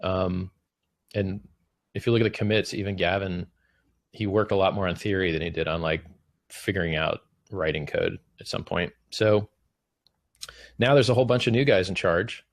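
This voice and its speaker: A man's voice: low-pitched, fairly even intonation, normal speed, pauses often, no echo, studio quality. A man hosting a debate, laying out the other side's case in a casual way with many fillers. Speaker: debate host. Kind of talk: spoken debate, summarizing the other side.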